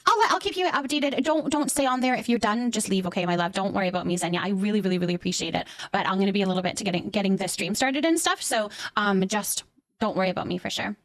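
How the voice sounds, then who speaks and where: A woman's voice: mid-range voice, animated, fast, fairly bright tone, dry recording. A woman livestreamer chatting casually with her viewers, effusive and affectionate, often repeating words and short phrases as she thanks them. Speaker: live streamer hosting a chat.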